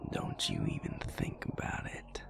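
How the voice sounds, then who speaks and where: A man playing a dry, affectionate, stubborn vampire boyfriend in an intimate audio roleplay, speaking in a gravelly, breathy murmur with a teasing drawl. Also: very high-pitched, heavily acted. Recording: loud music behind the voice. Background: club music.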